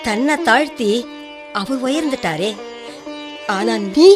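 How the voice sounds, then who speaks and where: A woman playing a middle-aged, worried and reproachful mother in a Tamil radio drama, expressive and admonishing. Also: a little high, slow pace. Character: worried, reproachful mother of a hot-headed son. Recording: faint music bed under the voice.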